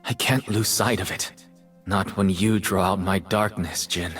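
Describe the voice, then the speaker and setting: Slow, husky, brooding artist mage. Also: male voice, low-pitched, steady tone, a bit bright, faint music behind, exaggerated voice. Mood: haunted. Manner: slow, measured, poetic delivery. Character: somber, haunted male artist mage, brooding and poetic. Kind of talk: dramatic video game voice lines.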